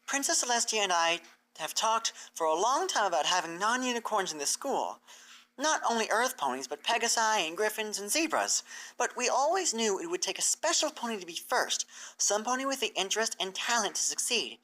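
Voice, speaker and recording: A performer voicing a gentle, earnest female unicorn mentor pony in a cartoon fan fiction reading, encouraging and measured. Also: medium pitch, very animated, voice pushed up, rough recording.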